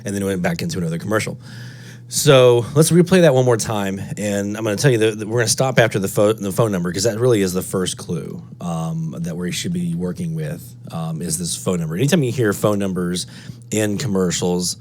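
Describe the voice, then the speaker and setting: Low-pitched male tutorial presenter, casual, reasoning aloud step by step, slight hum and noise behind. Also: brisk, a little mellow. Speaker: presenter of a radio DXing tutorial. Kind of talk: casual screen-walkthrough tutorial.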